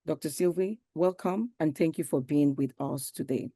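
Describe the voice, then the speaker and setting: A woman's voice: low voice, normal speed, warm tone, dry recording, studio quality. A woman moderating a conference session, introducing the guest formally and welcoming her, with "um" fillers.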